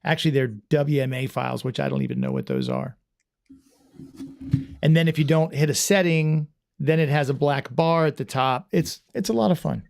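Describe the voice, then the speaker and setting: A man's voice: fairly high pitch, very animated, moderate pace, creaky, darkish tone. A man hosting a casual livestream podcast, rambling and self-deprecating with lots of restarts. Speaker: podcast host.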